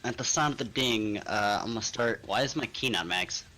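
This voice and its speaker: A man's voice: fairly low pitch, a little fast, sharp tone. A man talking casually as a player in a tabletop role-playing game. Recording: background noise with mouse clicks.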